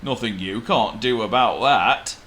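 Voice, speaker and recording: Man as a loud, blustery storybook elephant. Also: medium pitch, unhurried, slight background noise. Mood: dismissive. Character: big male elephant, booming, easily startled.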